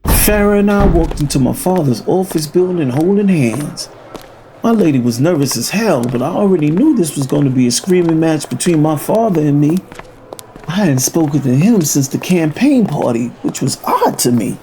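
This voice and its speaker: A mellow male voice pitched a bit high an actor in role, narrating his family drama in the first person. His telling is measured and matter-of-fact, over faint background ambience. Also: lively intonation, slight background noise, natural voice. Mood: tense, reflective. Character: grown son narrating tense family troubles.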